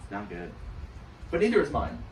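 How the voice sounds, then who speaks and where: A man co-hosting a comedy podcast, trading crude jokes with loud bursts of laughter. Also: fairly low pitch, huge pitch swings, fairly quick, echoey room, far from the mic, background noise. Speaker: podcast co-host.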